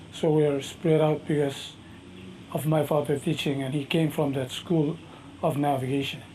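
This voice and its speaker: Micronesian master navigator, middle-aged man, mellow voice, unhurried halting delivery, faint background hum. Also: on the high side, low-quality recording. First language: a Micronesian language. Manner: slow, thoughtful, halting speech with many fillers. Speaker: master navigator and canoe captain. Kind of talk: interview answers.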